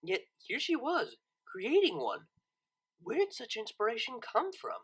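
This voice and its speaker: A narrator reading a scripted story expressively, with dramatic, deliberate phrasing. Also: medium pitch, huge pitch swings, breathy edge. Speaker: narrator, audiobook reader.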